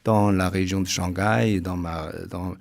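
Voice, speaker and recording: A man from China, speaking French with a Chinese accent, a guest author in a radio interview who talks haltingly with many restarts. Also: sits low, steady tone.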